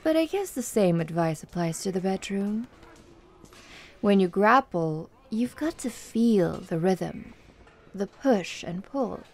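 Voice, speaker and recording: Woman acting a slow, creaky-voiced flirty tomboy fighter. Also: mid-range voice, expressive, darkish tone. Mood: teasing, seductive. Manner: slow, teasing, giggly, encouraging. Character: flirtatious confident tomboy MMA fighter woman, playful, seductive coach. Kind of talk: ASMR roleplay, personal address to listener.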